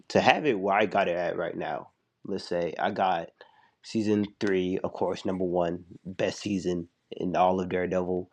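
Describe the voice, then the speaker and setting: A man's voice: low-pitched, darkish tone. A young man giving a loose, chatty spoken review, full of "like" and restarts, while a little sick. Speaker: show reviewer.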